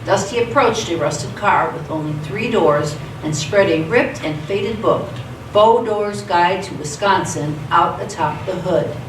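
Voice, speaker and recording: A woman's voice: fairly low pitch, unhurried. Woman narrator in an echoey radio play. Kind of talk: scripted story narration for radio theatre. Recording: echoey stage, distant mic, clear noise.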